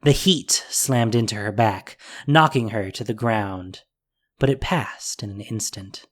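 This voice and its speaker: A man's voice: on the low side, unhurried. A man narrating a fantasy audio drama in a scripted, dramatic storytelling style.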